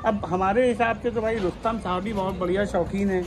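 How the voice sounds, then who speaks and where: A man's voice: high-pitched, steady tone, a little fast, noisy background. A male goat seller praising his animals persuasively on a street at night. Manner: proud, persuasive salesman. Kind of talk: casual sales chat.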